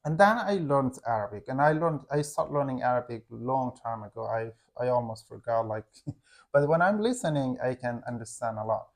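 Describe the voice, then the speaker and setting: Halting man chatting casually. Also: mid-range voice, animated, vocal fry. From Afghanistan. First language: Hazaragi. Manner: halting, searching for words, frequent "like". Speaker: English teacher and channel co-host. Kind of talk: casual conversation with his wife for learners.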